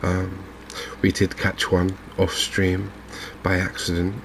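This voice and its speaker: A softly spoken man with a low, gravelly voice gives ASMR-style game commentary. His delivery is very even and unhurried, as if thinking aloud, with faint noise behind him. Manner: soft-spoken, unhurried, murmuring to himself. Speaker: game streamer.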